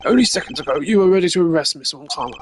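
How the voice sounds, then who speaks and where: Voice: mid-range voice, lively intonation, a little hiss or hum, close to an everyday voice. Performer doing a sly, condescending old ambassador. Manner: polite, condescending, deliberate.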